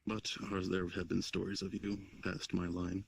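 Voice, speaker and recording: A man voicing a reserved, respectful half-demon in improvised roleplay, talking in a measured, cryptic way. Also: deep voice, monotone, gravelly, husky, exaggerated voice. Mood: calm, cryptic. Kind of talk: improvised virtual-reality roleplay.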